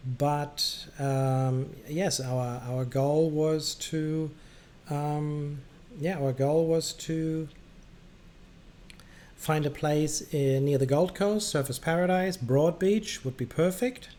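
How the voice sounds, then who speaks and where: A man with a somewhat high voice hosts a casual flight control game stream. He speaks in a halting, rambling way and switches into controller phrases. There is faint noise behind him. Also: fairly even intonation, darkish tone. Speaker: livestream host playing air traffic controller. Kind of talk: casual gaming livestream chat with radio calls. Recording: clearly noisy background.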